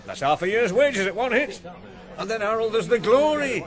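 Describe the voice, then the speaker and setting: A man's voice: high-pitched, animated, moderate pace. A male actor playing a dry, fatherly farm foreman who cautions and teases in a radio drama. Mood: encouraging, advising. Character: wry, fatherly farm foreman mentoring a boy.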